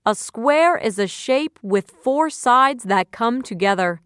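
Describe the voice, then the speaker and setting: A woman reading a scripted educational quiz in measured, instructional phrases, like a teacher. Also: a little high, lively intonation, fairly bright tone, clean tone, no echo, studio quality.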